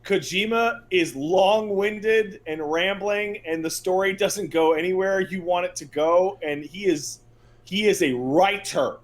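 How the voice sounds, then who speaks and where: A man's voice: high-pitched, a little slow. A man co-hosting a casual gaming podcast, telling things in a blunt, profane, emphatic way. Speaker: podcast co-host. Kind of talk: casual podcast chat about video games.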